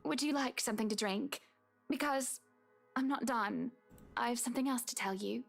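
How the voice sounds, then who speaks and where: A woman voicing a stern, composed young wife in a visual novel game, speaking in a measured, pointed way. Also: fairly high pitch, creaky, soft background music.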